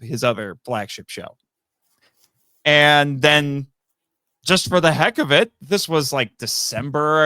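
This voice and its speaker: A man speaking as a podcast guest and amateur voice actor, telling stories casually in an animated, self-amused way. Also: mid-range voice, clear, resonant tone, no room sound, studio quality. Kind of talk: casual interview storytelling.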